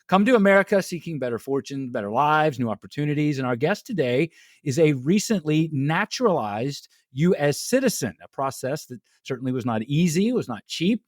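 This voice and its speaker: A man from Texas hosting a casual interview podcast, talking with lively emphasis and often repeating words as he starts a sentence. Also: a little high, a little fast, dry recording, studio quality. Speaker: podcast host.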